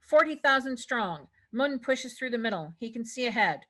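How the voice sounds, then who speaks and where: A woman's voice: a little high, animated, moderate pace, bright, forceful tone. A woman reading stage directions as scripted narration at a table read.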